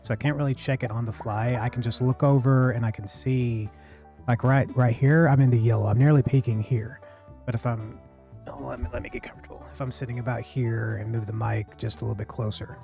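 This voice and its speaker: A man streaming a farming game, chatting casually into a close boom-arm mic, rambling and apologetic, with a deep voice he keeps low. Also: fairly even intonation, a little fast, creaky, warm tone, faint music behind. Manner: rambling, self-correcting, apologetic, talks low. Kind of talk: casual live-stream chat while gaming. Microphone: studio mic on a boom arm, close to the face.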